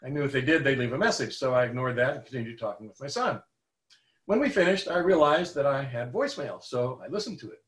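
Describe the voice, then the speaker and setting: A man in his seventies earnestly telling a personal faith story in a measured way. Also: medium pitch, expressive. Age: 70s. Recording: roomy, echoey room.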